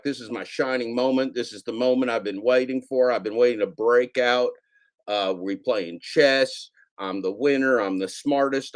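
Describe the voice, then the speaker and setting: A man hosting a casual livestream, rambling and repeating himself with a sarcastic edge. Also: medium pitch. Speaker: streamer host.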